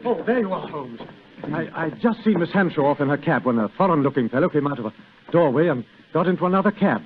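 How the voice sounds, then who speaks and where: An actor playing a flustered, urgent gentleman in a 1940s radio drama, with a husky voice. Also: fairly high pitch, expressive, quick pace. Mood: agitated. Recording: tinny, band-limited 1940s radio recording.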